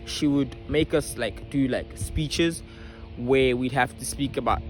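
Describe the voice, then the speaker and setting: A young man with a South African accent tells a personal story to viewers in casual slang, halting and repeating himself as he thinks. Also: medium pitch, soft background music, poor audio. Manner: halting and repetitive while searching memory. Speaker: vlogger.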